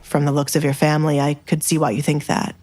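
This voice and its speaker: Woman putting on a low, commanding, menacing duke. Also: flat delivery, quick pace, darkish tone. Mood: probing, firm. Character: Edgar, powerful male duke, cool, commanding, menacing.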